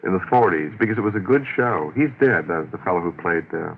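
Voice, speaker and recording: A man with a very low, creaky voice hosts a radio interview. He chats casually and nostalgically with his guest. The old broadcast sounds dull and lo-fi. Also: lively intonation, fast.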